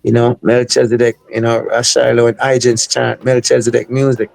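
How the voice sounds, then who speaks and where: A man's voice: medium pitch, monotone, moderate pace, creaky, darkish tone, dry recording, low-quality recording. A man from the United States, a reggae artist, talking casually in an interview, full of filler phrases. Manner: rambling, heavy on Jamaican-style filler phrases. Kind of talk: casual interview talk with sung snippets.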